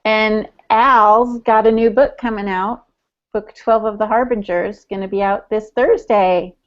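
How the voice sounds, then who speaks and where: A woman's voice: mid-range voice, fairly even intonation, a little slow. Chatty woman on a lo-fi podcast. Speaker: podcast co-host relaying audience chat. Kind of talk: casual podcast chat.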